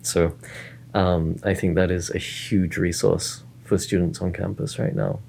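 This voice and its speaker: A man from student services, speaking earnestly and in a measured way in a casual interview. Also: deep voice, little pitch movement, gravelly, a little mellow, slight background noise.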